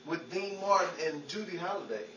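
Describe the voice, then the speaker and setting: A man's voice: high-pitched, fairly quick, echoey room, close to an everyday voice. Distant noisy recording, man playing hapless wisecracking showbiz hopeful, pleading banter, old-time radio comedy. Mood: cheeky, wisecracking.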